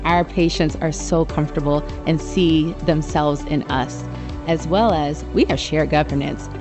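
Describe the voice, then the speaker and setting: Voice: medium pitch, steady tone, measured pace. Nurse testimonial, confident, emphatic, music underneath. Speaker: nurse in promo video. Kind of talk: testimonial interview. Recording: promo audio with music bed.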